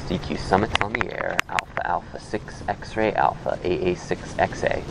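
A man's voice: low voice, moderate pace, vocal fry, very noisy. An American man working as an amateur radio operator, making repetitive, procedural calls with spelled-out call signs. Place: outdoors on a hilltop.

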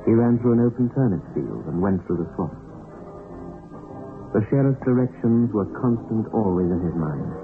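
Male narrator for vintage radio suspense: low-pitched, measured, thin narrow-band sound, music underneath. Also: expressive. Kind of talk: scripted dramatic radio narration of a suspense story. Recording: phone-like narrow-band old radio recording. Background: background music.

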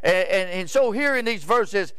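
Folksy older preacher, high and clear. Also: male voice, expressive, moderate pace, fairly bright tone, no echo. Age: 70s. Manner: rambling, repeats words, asks "Amen?" of the crowd. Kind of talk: folksy sermon. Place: church sanctuary, indoors.

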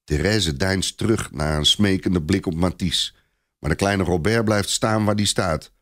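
A man's voice: deep voice, measured pace, a bit bright. A man narrating a scripted story expressively, as a storyteller.

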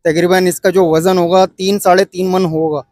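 A man in his thirties from Pakistan with a Karachi Urdu accent, filming a casual walkthrough of an outdoor cattle market on his phone and pressing sellers for lower prices. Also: little pitch movement, normal speed, a little mellow, no room sound, studio quality. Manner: chatty, pushes for a lower price. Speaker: vlogger.

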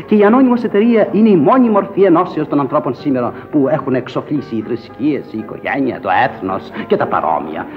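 A male actor plays a pompous, scornful capitalist president in an old radio play. He declaims in a high, swooping voice and is easily worked up. The sound is muffled, with music in the recording. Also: animated, few pauses, natural voice. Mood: pompous, self-assured. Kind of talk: theatrical radio drama acting.

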